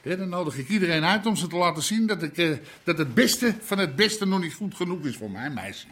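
Brash, high-pitched Amsterdam crime boss. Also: male voice, expressive, fairly quick, a bit bright. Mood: expansive, boastful. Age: middle-aged. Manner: swearing, blustery, coarse. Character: brash, foul-mouthed Amsterdam underworld boss, middle-aged husband. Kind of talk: naturalistic radio drama acting.

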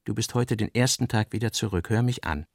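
A man's voice: fairly low pitch, fairly quick, creaky, no room sound, clean studio sound. A male narrator voicing an earnest middle-aged businessman father, who argues insistently and rhetorically with his daughter in a literary radio drama. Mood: grave, concerned.

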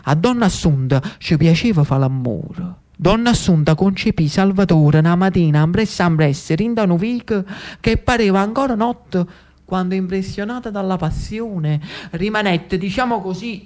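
A man's voice: high-pitched, darkish tone. A man with a Neapolitan accent delivers a theatrical storytelling monologue, dramatic and lyrical, lingering on short repeated phrases. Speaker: actor-storyteller. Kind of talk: theatrical narrative monologue in Italian with dialect.

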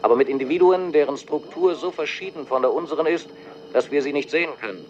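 An actor playing an urgent air force officer, sending clipped, official dispatches over a military radio in a radio drama. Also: male voice, on the high side, fast talker, a little mellow. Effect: military radio filter. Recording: dull, noisy radio transmission.

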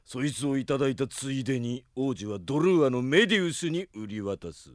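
A man playing a swaggering, scheming bandit chief in a Japanese radio drama, with a rough way of talking. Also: mid-range voice, lively intonation, a little fast, no echo, studio quality, close to an everyday voice. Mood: gloating, scheming.

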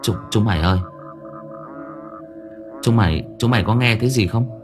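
A man's voice: fairly low pitch, moderate pace, over music, natural voice. A man voicing a frightened young boy in a ghost story, stammering and repeating his words. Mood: frightened, urgent.

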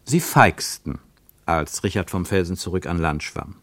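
A man narrating a literary radio drama in a measured, storytelling way. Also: deep voice, animated, vocal fry, fairly bright tone, no room sound.

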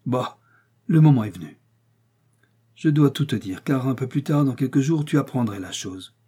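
A man's voice: mid-range voice, moderate pace, mellow, slightly rough, close to an everyday voice. A man playing a desperate middle-aged husband, ruined and guilty, speaking in agitated despair.

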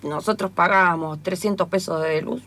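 Woman playing an exasperated Argentine dad, bright-voiced. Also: lowish voice, normal speed, pitched below the performer's own voice, lightly acted. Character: gruff, penny-pinching, foul-mouthed working-class father. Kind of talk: improvised comic radio play.

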